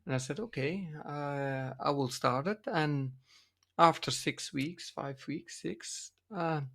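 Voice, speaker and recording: Slow, halting Dutch man. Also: fairly high pitch, creaky, a bit bright. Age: middle-aged or older. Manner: halting, searching for words in English. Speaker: podcast co-host, dance teacher and coach. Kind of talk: casual podcast discussion.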